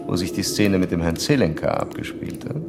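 A man's voice: low voice, expressive, brisk, over music. An actor playing a self-assured male psychoanalyst in a German radio play, didactic and pressing as he questions a patient. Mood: lecturing, probing.

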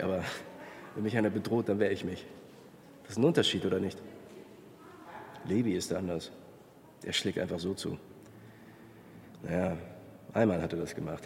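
A man's voice: fairly low pitch, darkish tone, natural voice. An actor playing an angry, self-justifying teenage tough guy in a radio drama monologue, blunt, slangy and defensive. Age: young adult. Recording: reverberant space, faint background noise.

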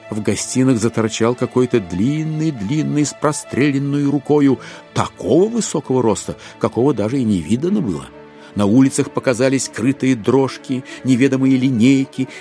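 A man narrating a literary audio drama in an expressive, theatrical storytelling manner with wry irony. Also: mid-range voice.

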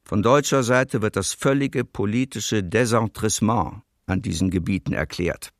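Male actor, low-pitched formal official, detached document reading, creaky edge, radio documentary. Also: moderate pace, no echo, clean studio sound, lightly acted.

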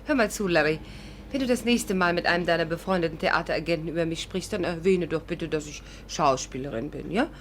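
A woman's voice: low-pitched, fairly quick, slight background noise, lightly acted. An actress playing a witty, stubborn young woman in a 1950s German radio play, with ironic, theatrical banter. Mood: indignant, sardonic. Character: witty, stubborn young English actress, hat lover, narrating. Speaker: actress narrating and playing the heroine. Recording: 1950s radio recording, music behind.

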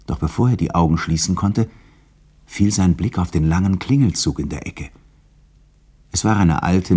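A man's voice: low-pitched, dark tone, husky. A man narrating a 1960s radio drama as a dramatic scripted story. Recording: studio radio narration with faint music behind. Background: faint music.

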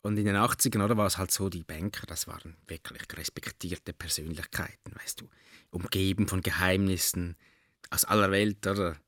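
A man with a Swiss German accent reminisces in a low voice, very dry and close. He tells his story casually, with small hesitations and tag questions. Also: fairly even intonation, moderate pace, fairly bright tone, no echo.

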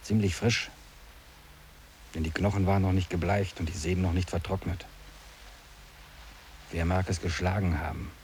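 An actor playing a dreamy, nature-loving hiker with a lyrical, musing manner in a 1950s German radio drama. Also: male voice, low voice, fairly even intonation, moderate pace, pauses often, a little mellow, slight background noise, close to an everyday voice. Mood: musing, uneasy.

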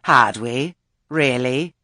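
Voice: mid-range voice, takes it slowly, bright, forceful tone, no room sound, pitched above the performer's own voice. A stern old country witch woman from a storybook, as acted out by an audiobook narrator. She speaks tersely and sardonically, grudging every word and expecting to be obeyed. The sound is dry and close. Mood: curt, imperious.

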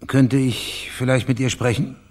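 An actor playing a calm private investigator in a radio crime drama, terse, polite and inquisitive. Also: male voice, mid-range voice, unhurried, lightly acted. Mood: probing.

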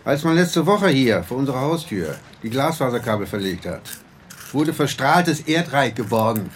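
A man's voice: mid-range voice, very animated, moderate pace, natural voice. A man acting a colloquial, prodding police inspector in a German radio drama. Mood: bossy, urgent. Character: harried male police inspector, bossy yet concerned. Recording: faint background noise and ambience.

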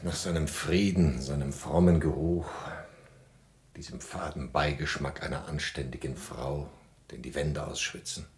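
An actor playing a brooding, world-weary wandering hero in a theatrical radio drama, torn by longing. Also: male voice, rumbling low, fairly even intonation, a little slow, slightly rough, faint music behind, full character voice. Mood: wistful, cynical. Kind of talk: theatrical radio play monologue and dialogue.

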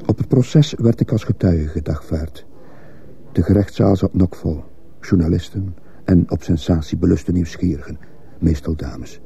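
A deep, creaky radio-play narrator. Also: male voice, moderate pace, dark tone. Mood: sombre, measured. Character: grave male teacher narrating his memories as witness. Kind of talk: scripted radio drama narration. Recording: muffled old radio play recording.

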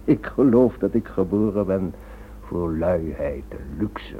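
A man playing a smug, cynical criminal schemer in a radio play, suave and mock-polite with quiet menace. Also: sits low, expressive, measured pace, breathy edge, full character voice. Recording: thin narrow-band old radio drama recording.

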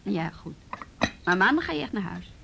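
A woman's voice: mid-range voice, sing-song, moderate pace, gravelly, fairly bright tone, a little hiss or hum, exaggerated voice. An actress playing a practical, sympathetic woman in a naturalistic radio drama, asking questions in a probing, matter-of-fact way. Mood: sympathetic, firm. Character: practical, sympathetic woman hosting a runaway friend.

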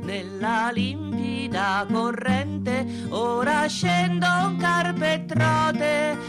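A woman's voice: sits high, very slow. A woman singing a folk protest song with guitar accompaniment. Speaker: singer. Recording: guitar loud alongside the voice.

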